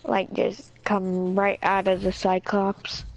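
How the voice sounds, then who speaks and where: A woman in a relaxed gaming chat who rambles along and leans on "like" and "yeah" as she talks. Her voice sits at an ordinary middle pitch. It comes through a voice chat party line that sounds dull and lo-fi. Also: takes it slowly. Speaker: friend in voice chat. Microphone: online voice chat party.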